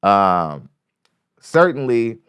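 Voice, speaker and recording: Male podcast host, light-voiced, rambling and profane, smooth tone, close dry mic. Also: on the low side, very animated, extremely slow, fairly bright tone, no echo. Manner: rambling, repeats himself, curses freely. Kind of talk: casual commentary on a clip. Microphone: studio mic.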